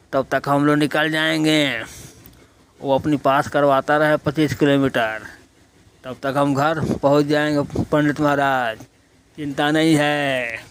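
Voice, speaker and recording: A man's voice: on the high side, fairly bright tone, clean tone. A man voicing a priest's crafty companion in a cartoon, talking in a colloquial, reassuring way. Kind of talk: Hindi cartoon story voice acting.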